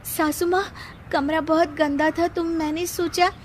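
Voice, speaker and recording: Woman putting on a meek, high-voiced young daughter-in-law. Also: little pitch movement, unhurried. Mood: meek, obedient. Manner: polite, timid, deferential. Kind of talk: dramatized Hindi moral story dialogue.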